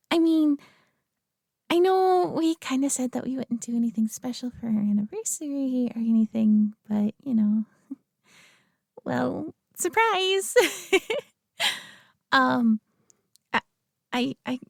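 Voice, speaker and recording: A young woman playing a sweet, nervous girlfriend who talks softly to the listener, giggling and stammering often. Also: sits high, creaky, no room sound, studio quality. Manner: giggly, stammering, soft-spoken. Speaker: audio roleplay performer. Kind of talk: girlfriend roleplay addressing the listener.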